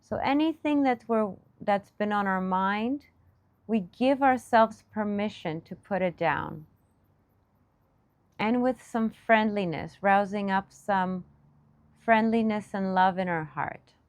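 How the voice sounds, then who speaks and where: A woman leading a guided meditation in a soothing, gentle manner, speaking in short unscripted phrases with long pauses. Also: mid-range voice, no room sound. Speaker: meditation teacher.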